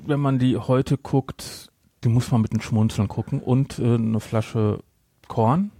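A middle-aged man hosts a casual podcast. His voice sits at an ordinary male pitch, recorded close and dry. He banters and likes to cut in on the others. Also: fairly even intonation, unhurried, warm tone, dry recording. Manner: bantering, interrupting. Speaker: podcast host. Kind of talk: casual chat.

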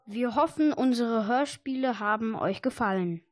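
Higher-pitched woman co-presenting radio, dry sound. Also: moderate pace, no echo. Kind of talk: scripted radio sign-off.

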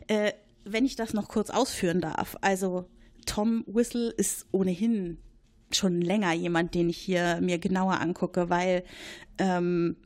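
Casting: woman as a rambling male supervisor, mid-pitched, gossipy and quick to suspect others, radio-play feel. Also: a little mellow. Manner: rambling, hesitant, eager to accuse. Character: chatty male base supervisor, gossipy, suspicious.

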